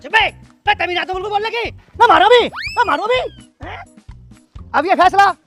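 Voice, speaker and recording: A man's voice: very high voice, big pitch swings, unhurried, bright, forceful tone, soft background music, full character voice. A man playing a bossy village official in a comedy skit, scolding and declamatory.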